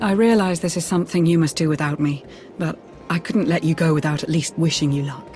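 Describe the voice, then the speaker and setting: An actress playing a devoted woman ally, speaking softly and earnestly. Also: low-pitched, fairly even intonation, fairly quick, over music, natural voice. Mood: worried. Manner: soft and earnest.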